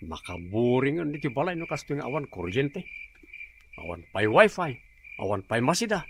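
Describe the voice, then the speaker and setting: A man's voice: medium pitch, very animated, normal speed, vocal fry, slight background noise. A man acting a lazy, jobless grown son in a radio drama, grumbling and whiny.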